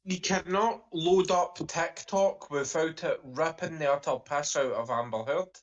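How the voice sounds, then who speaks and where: A man's voice: fairly high pitch, measured pace, muffled recording. A man giving blunt opinions in a casual discussion, using slang. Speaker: discussion panelist, security industry worker.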